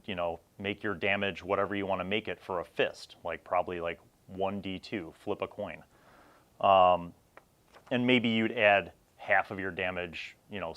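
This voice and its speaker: Middle aged guy w a lowish voice doing a laid out casual review, kinda rambly, thinks out loud. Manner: informal, rambling explanation with asides. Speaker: host reviewing old gaming magazines.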